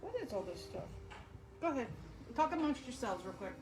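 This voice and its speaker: A woman's voice: on the high side, sing-song, over music. A woman co-hosting a live show from a small shop, chatting casually in a teasing, wry way. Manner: teasing and wry, drawn-out emphasis. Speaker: co-host of a live store show. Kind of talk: casual chat.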